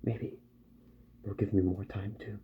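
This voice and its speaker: A man doing a soft-spoken ASMR roleplay as a calm, polite doctor who repairs robots, murmuring gently and often dropping into a whisper. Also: on the low side, muffled recording, a little hiss or hum. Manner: gentle, slow, murmured reassurance to the listener.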